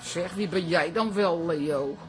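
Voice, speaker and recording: An actress playing a wry, lonely older single aunt, folksy and plain-spoken, in a comic radio play. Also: female voice, on the low side, takes it slowly, faint music behind, low-quality recording. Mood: wistful, wry.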